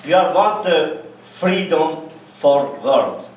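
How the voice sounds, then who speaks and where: A Kurdish man, a native Kurdish speaker, speaking from the audience in halting, broken English full of pauses. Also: on the high side, lively intonation. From Kurdistan, Turkey. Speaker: audience member. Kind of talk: speaking from the floor. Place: cafe event space. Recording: echoey room, speaker far from mic, faint noise.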